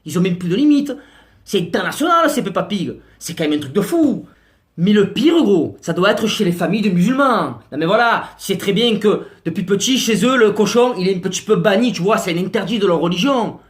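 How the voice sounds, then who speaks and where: A man's voice: sits high, expressive, fairly quick. A man doing a slangy, exasperated comic rant to camera. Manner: slangy, exasperated, mock-outraged. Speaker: comic vlogger.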